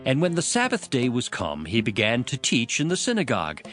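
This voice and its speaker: A man narrating a dramatized scripture production with measured, expressive storytelling. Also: medium pitch. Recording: music behind the voice. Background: prominent background music.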